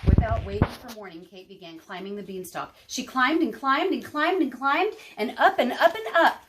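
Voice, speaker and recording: A woman's voice: on the high side, animated, moderate pace, fairly bright tone, slight background noise. A woman reading a children's storybook aloud as a story-time host, dramatic and explanatory. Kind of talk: expressive children's storybook narration.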